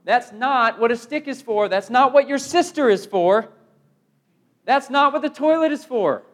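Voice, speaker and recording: A middle-aged American man preaching a sermon, emphatic and given to repeated phrases, moving between loud proclamation and hushed delivery. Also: very high voice, expressive, unhurried.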